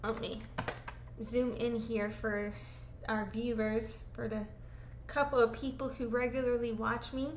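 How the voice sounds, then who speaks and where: A woman's voice: on the high side, little pitch movement, unhurried, dull audio, a little hiss or hum. Woman vlogger in her thirties, under the weather with a sore throat, rambling and emotional, in a roomy-sounding space. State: sick, sore throat, voice lower than usual. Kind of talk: casual rambling vlog. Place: home room being packed up.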